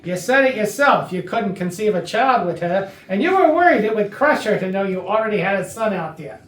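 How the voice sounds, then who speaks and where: A woman's voice: medium pitch, expressive, a little fast, natural voice. A woman playing a blunt, sardonic investigator in a murder mystery comedy reading, with a dry, probing, assertive manner. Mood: accusing. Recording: distant mic, echoey noisy room.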